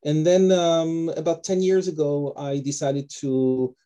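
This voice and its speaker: A man from Israel with an Israeli accent, a guest author talking casually on a video call from his home office, with many pauses and fillers. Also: a little high, level tone, dark tone. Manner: hesitant, many fillers and restarts. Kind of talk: casual interview talk.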